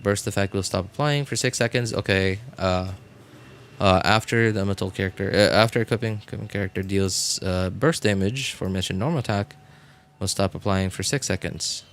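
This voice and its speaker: Guy streaming a game, lowish voice, kinda unsure, talking thru stuff out loud and repeating himself. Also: a little fast. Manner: hesitant, thinking aloud, repeating himself. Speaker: game livestreamer. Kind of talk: casual livestream chat while theorycrafting a game.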